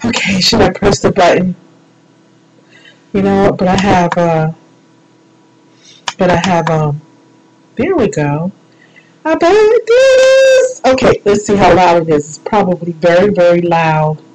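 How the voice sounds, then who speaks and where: A woman's voice: on the low side, lively intonation, a little slow, pauses often, background noise. A woman hosting an informal live show, chatting in a playful, rambling, self-deprecating way. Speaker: radio show host.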